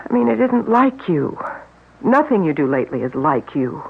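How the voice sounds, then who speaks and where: A woman's voice: deep voice, big pitch swings, moderate pace, creaky, slightly rough, heavily acted. A woman playing a worried, sensible wife who speaks anxiously and pleadingly in a radio drama. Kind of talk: 1970s radio drama acting. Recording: tinny old radio broadcast.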